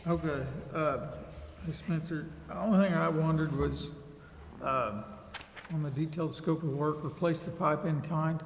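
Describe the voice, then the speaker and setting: A man's voice: a little high, steady tone, a little slow, creaky. A man on a city council asking questions casually, with hesitant phrasing. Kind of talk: casual questioning in a public meeting. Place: large indoor council chamber. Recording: echoey hall, faint background noise.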